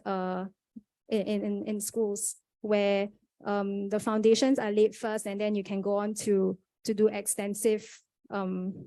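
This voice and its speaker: Young Singaporean woman, relaxed mellow tone, panel speaker, halting and sincere. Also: mid-range voice. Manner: earnest, many fillers and restarts. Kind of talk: conference panel talk.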